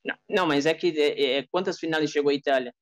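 Young Brazilian male, native Portuguese speaker, rapid argumentative delivery, very dry recording. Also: on the high side, no room sound, dull audio. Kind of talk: informal football debate. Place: indoors, home streaming setup on a group video call.

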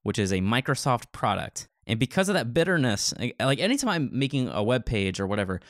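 A man's voice: medium pitch, sing-song, a little fast, dry recording, studio quality. A man co-hosting a podcast in casual tech discussion, talking in a rambling, opinionated way. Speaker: podcast co-host and web developer.

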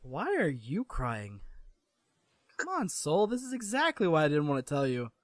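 A man's voice: fairly high pitch, huge pitch swings, moderate pace, no echo, clean studio sound. A man voicing a young half-French man, sarcastic and guarded, who drops French curses into his speech. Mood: wry.

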